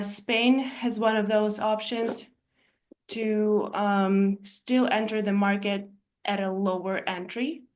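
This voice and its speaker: A woman from Ukraine, an architect giving an explanatory presentation in a measured way, pausing often to find her words. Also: mid-range voice. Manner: measured, explanatory, with frequent hesitations. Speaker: architect and real estate investor presenting. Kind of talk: presentation walking through slides.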